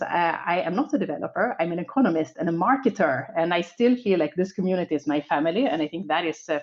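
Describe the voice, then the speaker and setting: A woman's voice: fairly low pitch, a little mellow. Upbeat Swedish-accented woman, lo-fi audio. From Sweden. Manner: upbeat, optimistic storytelling with fillers. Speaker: conference speaker. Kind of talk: online conference talk.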